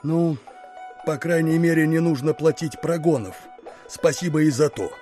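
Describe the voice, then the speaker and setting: A man's voice: on the high side, moderate pace, a little mellow, faint music behind, close to an everyday voice. A man playing a sardonic, disgruntled army captain with a stubborn streak in an audio drama. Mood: bitter, sardonic. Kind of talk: theatrical audiobook radio play.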